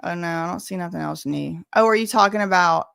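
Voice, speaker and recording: A woman's voice: on the low side, a little fast, gravelly, fairly bright tone, no room sound, clean studio sound. An American woman hosting a casual live stream, chatting with viewers in a colloquial, sassy way. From Louisiana, United States. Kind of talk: casual live chat reading and answering viewer messages.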